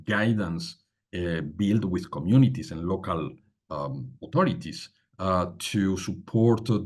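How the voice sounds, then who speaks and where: Low-voiced male panelist, halting delivery. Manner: halting, many fillers, emphatic. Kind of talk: panel talk on migration policy.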